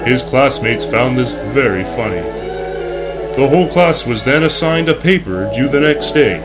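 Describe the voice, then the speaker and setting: A man's voice: mid-range voice, animated, moderate pace. Earnest young man narrating over music. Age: young adult. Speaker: narrator of a student film. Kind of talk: scripted narration, parody of 1960s educational film.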